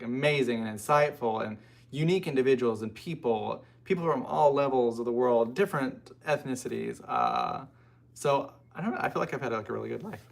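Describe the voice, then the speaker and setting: A man's voice: mid-range voice, creaky. An American man hosting a casual livestream, chatting informally and rambling with frequent asides as he responds to viewers. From United States. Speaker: livestream host. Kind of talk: casual live chat, answering and reading out viewer comments.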